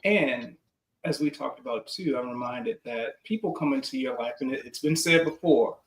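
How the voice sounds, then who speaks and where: A man, a psychologist, telling a confiding story in a reflective chat over a video call, trailing off softly at the ends of phrases. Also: mid-range voice, moderate pace. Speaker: clinical psychologist. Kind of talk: reflective conversation. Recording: echoey room.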